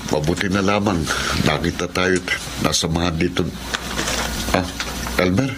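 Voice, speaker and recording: Low-voiced, earnest Filipino hunter. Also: male voice, fairly even intonation, a little slow, background noise. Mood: baffled, uneasy. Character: puzzled hunter stranded overnight in the dark. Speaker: radio drama actor. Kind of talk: Filipino radio drama acting.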